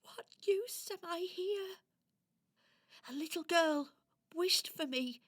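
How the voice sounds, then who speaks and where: A woman playing a timid, gentle lost Christmas toy in a children's audio drama, hesitant, plaintive and easily frightened. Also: very high-pitched, takes it slowly. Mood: tearful.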